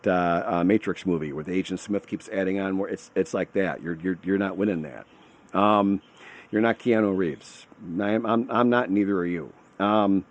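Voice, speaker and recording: A man's voice: fairly low pitch, darkish tone. A middle-aged man from Michigan talking unscripted to camera, rambling and restarting his words often. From Michigan, USA. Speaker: vlogger on retiring abroad.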